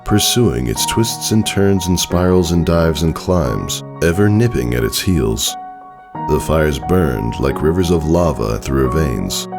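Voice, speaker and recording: Male story narrator, extremely low pitch, lively intonation, measured dramatic pauses, music underneath. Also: vocal fry. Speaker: fan fiction narrator. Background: background music.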